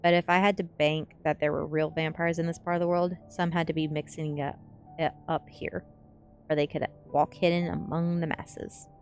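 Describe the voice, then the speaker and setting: A woman's voice: sits low, little pitch movement, moderate pace. A woman streamer reading a gothic teen story aloud in an audiobook style of narration. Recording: faint music behind. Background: faint music.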